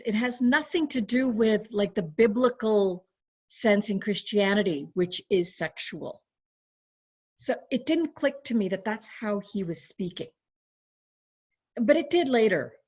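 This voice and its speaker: Slow-talking woman on a video call. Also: medium pitch. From Canada. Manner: chatty, animated when quoting, frequent restarts. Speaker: webinar guest. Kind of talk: casual storytelling recollection. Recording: dull lo-fi video call audio.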